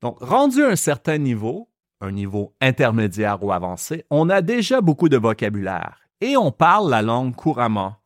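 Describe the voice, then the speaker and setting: A man with a Quebec French accent hosting a podcast lesson, explaining slowly and carefully. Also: mid-range voice, huge pitch swings, no room sound, clean studio sound. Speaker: French teacher and podcast host.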